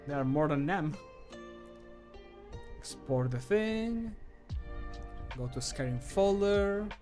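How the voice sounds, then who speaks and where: A man's voice: fairly high pitch, lively intonation, takes it slowly, a little mellow, soft background music. A man modding a game and recording voice lines, chatting casually to himself and laughing often. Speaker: modder and streamer.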